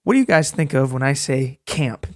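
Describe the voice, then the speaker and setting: A man's voice: medium pitch, expressive, normal speed, a little mellow, dry recording, studio quality. A man hosting a narrative podcast, talking to listeners in a joking, casual, storytelling way. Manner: joking, rambling, addresses listeners directly.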